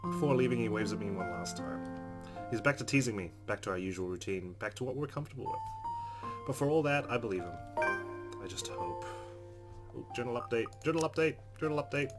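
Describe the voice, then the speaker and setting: A man doing a let's play, reading a game's narration aloud in an expressive, measured, dramatic way. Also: mid-range voice. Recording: game music under the voice.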